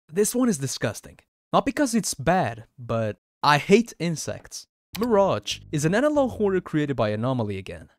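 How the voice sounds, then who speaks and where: A man narrates a scripted horror countdown as the video's host. His mid-pitched voice moves up and down with animated intonation. The sound is dry, with music playing underneath. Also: no echo. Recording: dry voice over background music.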